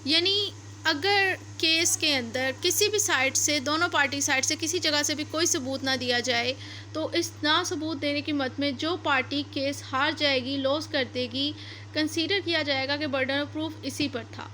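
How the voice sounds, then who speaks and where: A woman's voice: high-pitched, measured pace, pushed and bright, slight background noise. A woman teaching law, reading passages aloud and then explaining them step by step, repeating and rephrasing her points. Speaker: law lecturer.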